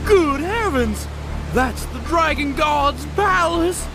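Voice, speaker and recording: A woman voicing an excitable, wide-eyed young male farmer in a children's storybook cartoon. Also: high voice, extremely slow, music in the background, voice pushed up, heavily acted. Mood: astonished.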